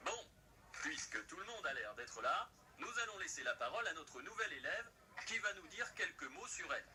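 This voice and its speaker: An actor playing a male high school teacher in a cartoon, speaking in a formal, animated way. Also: a little fast, exaggerated voice. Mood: welcoming. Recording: distant voice, faint background noise.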